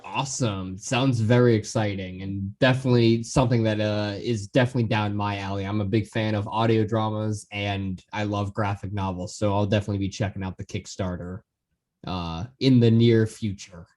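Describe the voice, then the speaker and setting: A man's voice: on the low side, steady tone, moderate pace, darkish tone. Enthusiastic male podcast host. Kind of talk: casual interview chat.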